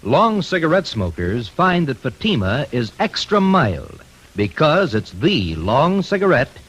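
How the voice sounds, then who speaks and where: A man delivering a scripted 1950s radio advertising pitch as the sponsor's announcer. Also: sing-song, measured pace, fairly bright tone, breathy edge. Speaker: commercial announcer. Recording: vintage radio recording.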